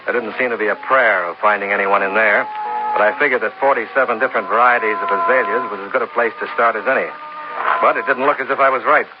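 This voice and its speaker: An actor playing a hard-boiled insurance investigator who narrates a 1950s radio drama in a dry, clipped way. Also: male voice, lowish voice, quick pace, music in the background, lightly acted. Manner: dry, clipped, matter-of-fact. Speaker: narrator and lead. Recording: hissy, dull old radio broadcast.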